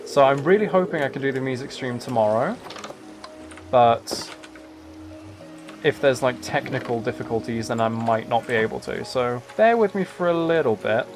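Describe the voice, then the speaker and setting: A man's voice: medium pitch, animated, normal speed. A man chatting casually as he hosts a live game stream, rambling along and commenting on himself with a lightly wry manner. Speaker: streamer, host. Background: background music.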